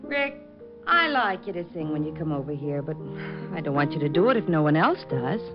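A woman's voice: low-pitched, big pitch swings, fairly quick. An actress playing the detective's affectionate, teasing girlfriend in a 1950s radio drama. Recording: dull old radio broadcast with music.

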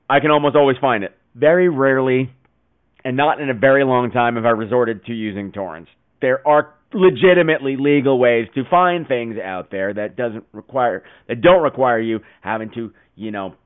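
A man's voice: a little high, phone-quality sound. A man hosting a podcast in casual chat, theatrical and teasing, with exaggerated emphasis and some profanity. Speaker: podcast host. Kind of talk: casual podcast chat with listener call-ins.

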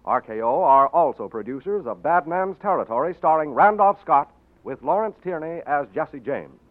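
Old-time radio announcer guy, lively emphatic delivery, tinny broadcast sound. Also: on the high side, normal speed, background noise. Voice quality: polished, emphatic. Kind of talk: 1940s radio commercial announcing.

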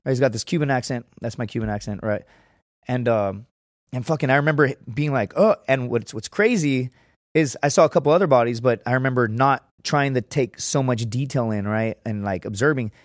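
A man spinning profane stories as a podcast guest, his voice sitting at an ordinary middle pitch. He keeps it loose and conversational, and the close, dry studio mic leaves no room sound at all. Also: brisk, no echo. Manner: profane, storytelling, acts out quotes in a raised voice. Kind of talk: casual chat.

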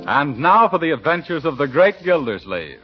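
An American man announcing in a formal, measured 1940s radio style. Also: on the high side, big pitch swings, loud music behind the voice. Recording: muffled vintage radio broadcast recording.

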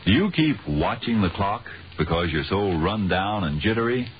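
Male American announcer for vintage radio; low, widely swooping delivery, dull old broadcast sound. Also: expressive, moderate pace, slight background noise. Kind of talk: dramatic 1940s radio announcing. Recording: muffled 1940s radio broadcast.

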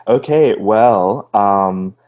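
Late-20s man, lowish voice, hesitant and rambling guest. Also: a little mellow, muffled recording. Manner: rambling, hesitant, self-mocking with restarts and laughs. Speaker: podcast guest. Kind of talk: casual podcast chat.

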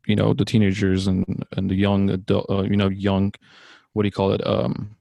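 Young male podcast host, low and creaky, halting conversational delivery. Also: monotone, warm tone, dry recording. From born in Iran.

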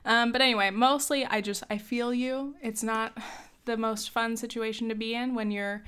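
A young woman in her twenties chats through advice in a relaxed, rambling way, with frequent fillers and light laughter. Her voice sits fairly high and sounds smooth and clear, though a touch hoarse from coughing. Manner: chatty, lots of "like" and "um", laughs often. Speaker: advice host. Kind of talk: casual advice chat.

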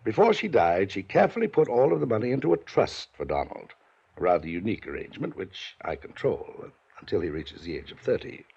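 An actor playing a pompous, domineering wealthy older uncle in a 1950s radio drama. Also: male voice, fairly low pitch, big pitch swings, fast talker, vocal fry, a little mellow, husky, exaggerated voice. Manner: pompous, clipped, rises to angry lecturing. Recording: dull lo-fi 1950s radio broadcast.

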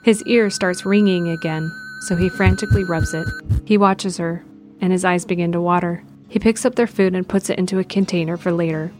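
A woman's voice: on the low side, steady tone, fairly quick, music in the background. A woman narrator reading scripted present-tense narration for an audio drama.

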